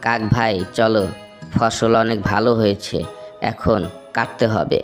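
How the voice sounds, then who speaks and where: A man voicing an earnest little bird, low-pitched. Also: flat delivery, a little slow, faint music behind, lightly acted. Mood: friendly, earnest. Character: honest, hardworking, trusting small male tailorbird farmer. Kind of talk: cartoon fable voice acting.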